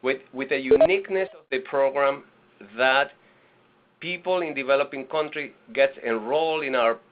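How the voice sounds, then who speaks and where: Man from venezuela, nonprofit director, webinar talk, halting speech, dull lo-fi sound. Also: mid-range voice. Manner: halting, with restarts and fillers. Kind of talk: webinar presentation. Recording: lo-fi webinar audio.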